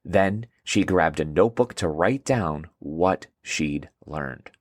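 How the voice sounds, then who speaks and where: A man with a lowish voice narrates a children's storybook. He reads at a slow pace with plenty of melodic movement, in a scripted storytelling style. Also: lively intonation, no room sound.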